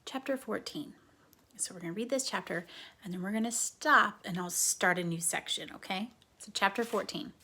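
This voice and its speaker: A woman reading a children's book aloud as a dramatic storyteller, often dropping into a breathy hush. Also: medium pitch, moderate pace, slight background noise. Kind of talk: expressive children's book narration.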